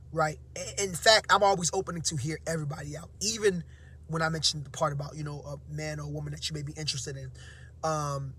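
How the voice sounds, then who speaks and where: Man, teacher vlogger, slightly hoarse, sleepy, fairly high voice, talky with fillers. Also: bright, forceful tone, slight background noise. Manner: conversational, many fillers and "right?" tags. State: slightly hoarse, half-awake. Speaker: teacher and vlog host. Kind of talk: casual motivational talk to camera.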